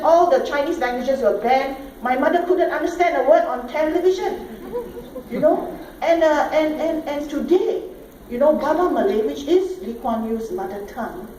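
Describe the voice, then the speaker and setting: A middle-aged Singaporean woman speaks to a conference audience in a mellow, fairly high voice, driving her points home with rhetorical questions. She is heard from a distance in an echoey hall with a faint hiss underneath. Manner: emphatic, rhetorical questions, repeats "all right?" and "okay?". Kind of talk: conference talk.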